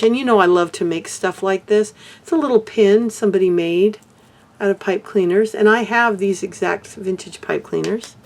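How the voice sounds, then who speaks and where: An older woman from Texas, hoarse, chatting casually to camera in a rambling way about her crafts and life. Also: mid-range voice, a little mellow. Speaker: beadwork vlogger.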